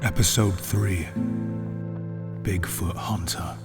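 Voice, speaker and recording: A man's voice: low voice, fairly even intonation, takes it slowly, creaky, loud music behind the voice. A man announcing titles as a scripted introduction to an audio drama.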